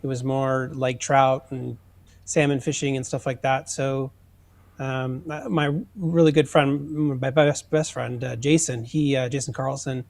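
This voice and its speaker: A man's voice: mid-range voice, fairly even intonation, measured pace, creaky. A man chatting casually as a guest on a podcast, talking as a sponsor and hobby angler. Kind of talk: casual chat.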